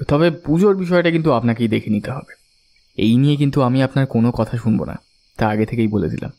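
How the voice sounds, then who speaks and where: A man's voice: medium pitch, fast talker, a little mellow, close to an everyday voice. An actor playing an earnest, devout family man who tells his own story conversationally in an audio drama. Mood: relieved, cheerful. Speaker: narrator and character. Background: faint background music.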